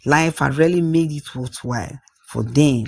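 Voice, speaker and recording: Creaky-voiced storyteller narrating a folktale. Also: medium pitch, moderate pace, no echo. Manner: expressive, with interjections and repeated phrases. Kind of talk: spoken folktale narration with acted dialogue.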